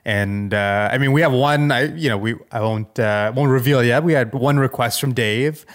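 A man's voice: medium pitch, normal speed. A man co-hosting a podcast, chatting casually in a hesitant, rambling way. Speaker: podcast co-host.

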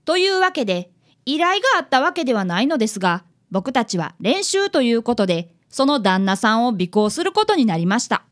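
Woman doing a polite, keen little schoolboy helper, high voice, bounces around a lot. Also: big pitch swings, a little fast. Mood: eager. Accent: Kansai. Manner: polite, eager. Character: eager schoolboy detective apprentice, about eleven. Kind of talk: community radio drama.

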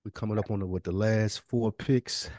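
Low-voiced man, dry close sound, casual. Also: fairly even intonation, normal speed, a little mellow, dry recording. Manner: informal, slangy, thinking aloud. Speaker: podcast co-host. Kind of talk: casual banter about a TV series fantasy draft.

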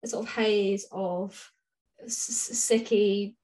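A woman's voice: mid-range voice, fairly even intonation, slow pace, a little mellow, rough recording. A woman co-hosting a podcast, talking through books casually and informally in chatty, rambling explanations. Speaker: podcast co-host. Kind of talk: casual book discussion chat.

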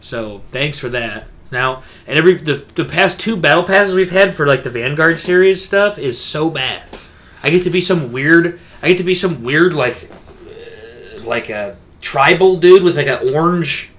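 A man's voice: a little high, animated, normal speed, a bit bright. A man co-hosting a casual gaming podcast, ranting in a slangy, emphatic, exasperated way. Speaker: podcast co-host.

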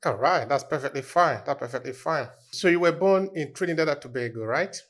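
A man's voice: on the high side, expressive, fairly quick, a bit bright. A man hosting a podcast, reading a scripted intro and asking questions in formal, slightly unidiomatic phrasing. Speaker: podcast host.

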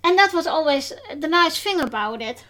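A woman's voice: high-pitched, lively intonation, moderate pace, sharp tone. A woman with a Dutch accent, a non-native English speaker, hosting a casual podcast chat and talking hesitantly with many fillers and restarts. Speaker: podcast host.

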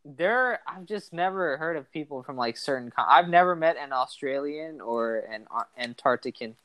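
A young man from Los Angeles hosting a podcast, chatting casually in an excitable, slangy way. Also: fairly high pitch, normal speed, no room sound. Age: young adult. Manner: excitable, slangy, says bro and dude a lot. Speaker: podcast host. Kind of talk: casual chat.

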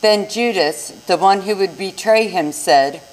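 A woman's voice: medium pitch, unhurried, slight background noise, low-quality recording. A woman narrating a scripted scripture reading in church. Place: inside a church.

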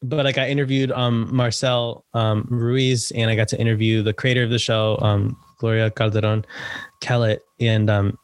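A man's voice: mid-range voice, little pitch movement, normal speed, clear, resonant tone, no room sound. A young man being interviewed about his work as an actor and writer, chatty, laughing often and using many fillers. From United States, Salvadoran family. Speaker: podcast guest, actor and writer. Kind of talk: casual interview chat.

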